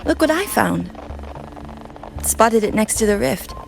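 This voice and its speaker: A woman's voice: fairly high pitch, expressive, unhurried, creaky, faint music behind, exaggerated voice, low-quality recording. An actress voicing a casual, inquisitive young female companion in a video game, talking informally. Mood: casual, curious.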